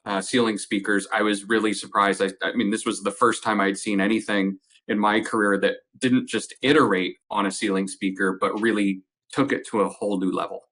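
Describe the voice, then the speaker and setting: A man with a fairly low voice speaks as a product expert in an interview. He explains technical details conversationally, in a measured way, restating key points for emphasis. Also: flat delivery, a bit bright. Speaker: company representative, audio product expert.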